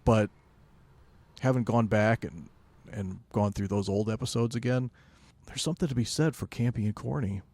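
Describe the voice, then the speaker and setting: A man with a fairly low voice in a dry, close recording. He talks casually as a podcast co-host about pop culture, rambling a little, pausing and rewording his thoughts as he goes. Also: darkish tone, no room sound. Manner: halting, rambling, self-correcting.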